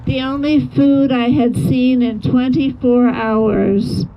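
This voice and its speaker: A woman with a fairly high voice delivers a testimony at an outdoor rally. She is measured and solemn, on a slightly muffled recording with faint traffic noise. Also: steady tone. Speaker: rally speaker giving a testimony. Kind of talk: first-person account read aloud. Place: outdoor rally near a road. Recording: dull lo-fi audio, faint vehicle noise.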